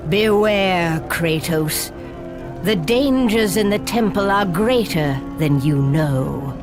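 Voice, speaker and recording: An actress playing a village oracle who gives a hushed, grave warning in a video game cutscene. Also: female voice, lowish voice, animated, slow, music in the background. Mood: foreboding.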